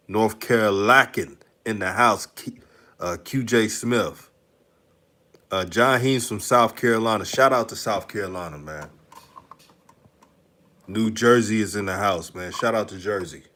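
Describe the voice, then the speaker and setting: A man's voice: fairly low pitch, a bit bright. Chatty Texan man reading the chat. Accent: slight Texan. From Houston, Texas. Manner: loose, chatty, laughs often. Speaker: live-stream host. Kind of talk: casual live-stream talk, reading the chat.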